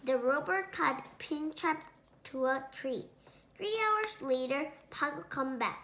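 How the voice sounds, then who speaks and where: Young female storybook narrator: high, bright, slow, halting read-aloud delivery. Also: expressive, slight background noise. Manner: halting, word-by-word reading with self-corrections. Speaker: storybook reader.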